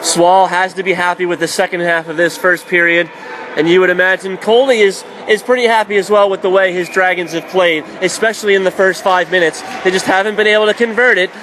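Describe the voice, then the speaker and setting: A male hockey announcer with a high-pitched voice gives live radio play-by-play. His calls are clipped and excitable. Loud rink noise runs behind him. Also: fairly quick, poor audio. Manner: excitable on shots, clipped present-tense calls. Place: indoor ice hockey arena.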